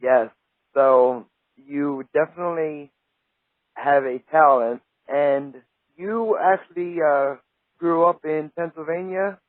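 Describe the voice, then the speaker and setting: A man's voice: on the high side, slow pace, dry recording. A male radio host chatting casually with a guest, friendly and full of praise. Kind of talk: casual interview chat. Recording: tinny phone-like line, dry.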